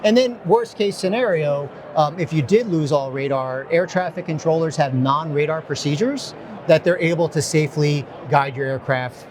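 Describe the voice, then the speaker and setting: Measured man explaining equipment, noisy backdrop. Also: a little high. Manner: measured, filler-heavy explanation. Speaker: technical expert.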